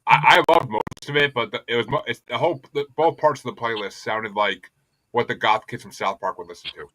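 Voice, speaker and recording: A man's voice: medium pitch, brisk, pushed and bright, low-quality recording. A man hosting a casual music podcast, speaking in an emphatic, repetitive, opinionated way with plenty of swearing. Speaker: podcast host and panelist.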